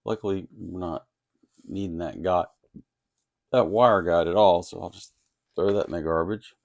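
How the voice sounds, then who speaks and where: Low, gravelly-voiced man explaining electronics. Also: moderate pace, darkish tone, lo-fi sound. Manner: plainspoken, folksy, explains step by step. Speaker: host of an electronics kit-building channel. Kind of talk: informal technical explanation and workbench narration.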